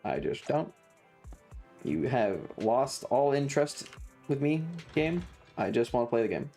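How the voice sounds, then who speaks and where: A woman livestreamer chatting casually over a video game in a blunt, sweary, deadpan manner, with game music behind her. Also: rumbling low, measured pace. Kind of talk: casual game streaming chat.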